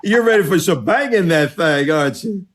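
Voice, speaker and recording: A man's voice: fairly high pitch, lively intonation, fairly bright tone. Flamboyant guy hosting a podcast, teasing and campy, voice bouncing all over. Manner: campy, teasing, drawn-out exclamations. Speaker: podcast host. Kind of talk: flamboyant, flirtatious interview banter.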